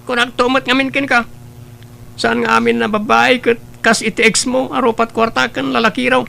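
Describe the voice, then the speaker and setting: A man's voice: very high-pitched, little pitch movement, normal speed, gravelly, sharp tone, pitched above the performer's own voice, full character voice. A man playing a frank buddy who gives advice in a family audio drama. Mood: insistent, warning. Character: frank male buddy giving advice on women. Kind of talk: Ilocano radio-style drama acting.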